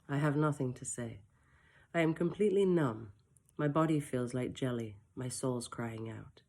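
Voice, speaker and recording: Woman author reading her own first-person story, close mic, soft and reflective, with wry asides. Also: deep voice. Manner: soft, emotional and reflective, with brief self-mocking asides.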